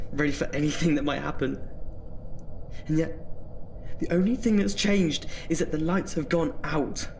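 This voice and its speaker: An actor playing an anxious young British student in an audio drama, nervously talking himself through his fear of the dark. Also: high-pitched, slight background noise. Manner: jittery, muttering self-talk with sighs. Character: anxious student afraid of the dark, self-scolding.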